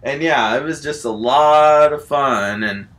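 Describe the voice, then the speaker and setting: A man improvising a rambling comic story in an excitable, giggly manner, often falling back on repeated filler phrases. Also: mid-range voice, unhurried. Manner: excitable, giggly, repetitive filler phrases like "you know" and "all that stuff". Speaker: amateur storyteller in a homemade video.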